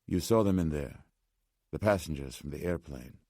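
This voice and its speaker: Man acting elderly gentleman, Slavic accent, extremely low pitch, formal, deliberate, anxious undertone, storybook character, close dry sound. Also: dry recording, exaggerated voice. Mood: earnest, urgent. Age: older. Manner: formal, deliberate, agitated but striving to speak calmly. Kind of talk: audiobook character voice.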